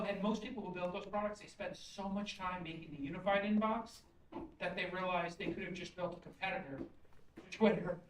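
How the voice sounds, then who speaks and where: A man's voice: high voice, a bit bright. Man giving blunt feedback in a roomy space. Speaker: judge giving feedback on startup pitches. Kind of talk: conversational critique and questioning. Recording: roomy, distant mic, faint noise.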